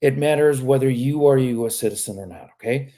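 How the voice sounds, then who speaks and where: A man's voice: medium pitch, fast talker, warm tone. Frank man answering live viewer questions. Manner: casual, frank, keeps tagging on "okay?"; reads viewer questions aloud and answers them. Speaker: immigration lawyer. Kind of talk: live stream Q&A.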